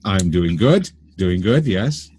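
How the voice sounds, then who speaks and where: Deep, dark-voiced male host. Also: very animated, husky, no room sound. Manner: halting, many fillers and restarts. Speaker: podcast host. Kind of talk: interview questions.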